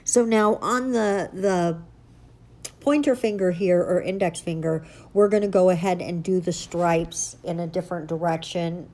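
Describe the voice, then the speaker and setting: A woman's voice: on the low side, unhurried, darkish tone. Stuffy woman hosting a nail tutorial, chatty and upbeat, keeps correcting herself. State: stuffy nose from allergies. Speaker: nail art channel host. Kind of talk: casual tutorial chat.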